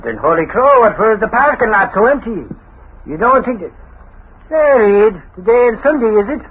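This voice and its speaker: An actor playing an excitable, slow-witted, blustering sidekick in 1930s radio style, on a muffled old recording. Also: male voice, sits high, husky, full character voice. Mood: puzzled. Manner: blustery, folksy exclamations. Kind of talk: 1930s radio comic-relief acting.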